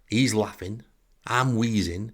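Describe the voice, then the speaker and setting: A man's voice: fairly low pitch, takes it slowly, a bit bright. A man with a Northern English accent telling a humorous first-person anecdote in a wry, self-deprecating way. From Northern England. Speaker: comic storyteller.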